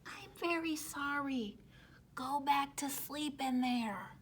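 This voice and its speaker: A woman's voice: high voice, takes it slowly. A woman voicing a stubborn, earnest, fussy male goose with an emphatic, proper way of talking, in a storybook. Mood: apologetic.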